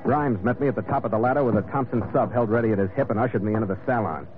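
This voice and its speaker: An actor playing a tough, wry sea captain who also narrates a vintage radio adventure in a clipped way. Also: male voice, medium pitch, rapid-fire, music in the background, close to an everyday voice. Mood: wry narrating. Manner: clipped, wry, hard-boiled. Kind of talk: old-time radio adventure acting and narration. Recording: tinny vintage radio recording.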